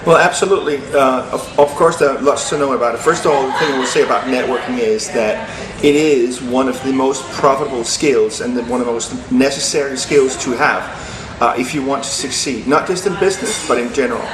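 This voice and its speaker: A man from Denmark, a guest in a business interview, talking in an earnest, steady, motivational way. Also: mid-range voice, brisk, crowd noise. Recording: echoey room with background noise.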